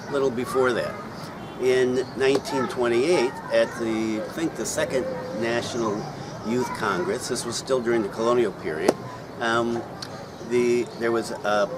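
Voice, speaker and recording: A middle-aged man explaining things casually to viewers, pausing often with ums and uhs. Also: fairly low pitch. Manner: hesitant, many ums and uhs. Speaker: vlogger answering viewer questions. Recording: loud outdoor traffic noise.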